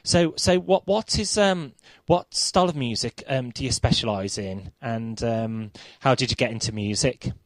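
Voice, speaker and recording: A man hosting a breakfast radio show who asks questions haltingly, with lots of ums and restarts, and encourages his guest. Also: mid-range voice, dry recording. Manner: hesitant, many ums and restarts, warmly encouraging. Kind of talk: radio interview.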